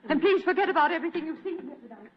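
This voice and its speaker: A woman playing a secretive, well-bred young lady in an old-time radio mystery, polite, composed and urgent, with a breathy voice. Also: high-pitched, animated, racing, slight background noise. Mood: polite, pleading. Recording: dull vintage radio drama recording.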